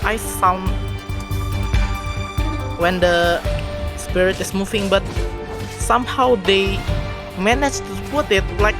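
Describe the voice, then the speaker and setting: A woman giving casual reaction commentary on game videos, in halting non-native English with repeated phrases. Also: medium pitch, fairly even intonation. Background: loud music from the watched video.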